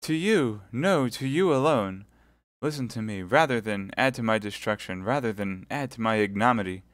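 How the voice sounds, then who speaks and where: A man's voice: on the low side, lively intonation, normal speed, dry recording, studio quality, pitched above the performer's own voice, natural voice. A man reading aloud voices a scheming captive noblewoman who pleads and prays in a tearful, quavering tone. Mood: pleading.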